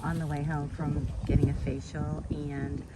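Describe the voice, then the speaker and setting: A woman showing her garden on her vlog, gushing and excited. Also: low-pitched, a little slow, a little hiss or hum, low-quality recording. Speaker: gardening channel host. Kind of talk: casual vlog narration.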